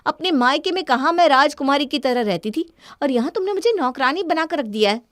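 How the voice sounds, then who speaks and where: A woman's voice: sits high, expressive, a little fast, a bit bright, no echo, clean studio sound. A woman voicing a spoiled, defiant young wife in a cartoon story, arguing in a dismissive, indignant way. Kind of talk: Hindi cartoon story dialogue.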